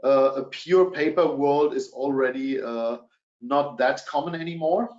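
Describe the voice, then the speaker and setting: German-accented middle-aged male presenter, hesitant delivery, average pitch, echoey room. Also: unhurried, a little mellow. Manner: hesitant, many fillers. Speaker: webinar presenter. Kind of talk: presenting a business webinar. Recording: dull, roomy webinar audio.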